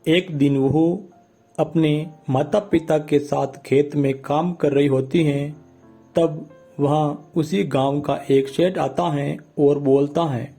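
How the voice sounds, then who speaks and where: A man's voice: on the high side, fairly even intonation, takes it slowly, dark tone. A man narrating a scripted moral story in simple language, with plucked guitar music behind him. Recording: music bed under the voice.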